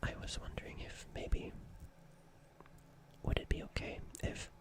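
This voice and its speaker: A performer playing a gentle, nervous alien in a whispered ASMR roleplay, speaking in a breathy, hesitant, stammering and soothing way. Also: measured pace, natural voice. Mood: reassuring, concerned. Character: gentle, nervous alien caring for an injured human.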